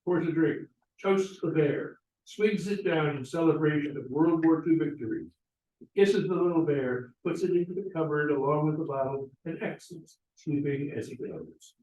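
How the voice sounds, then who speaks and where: A man's voice: a little high, normal speed, a little mellow. A man reading stage directions as scripted narration at a play table read. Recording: roomy, echoey, distant mic.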